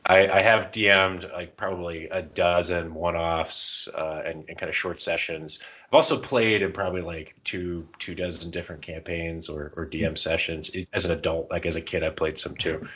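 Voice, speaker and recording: A man with a very deep voice chats informally as a podcast host. He talks conversationally and draws his co-host in. The recording is somewhat dull and lo-fi. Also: moderate pace, sharp tone, slightly rough. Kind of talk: casual podcast chat.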